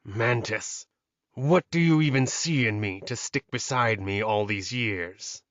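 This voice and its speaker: Man playing low, brooding lovelorn villain. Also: a little slow, a bit bright, no echo, lo-fi sound. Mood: mournful. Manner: low, mournful muttering. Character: defeated, obsessive male villain, brooding and lovelorn. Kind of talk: dramatized audiobook dialogue.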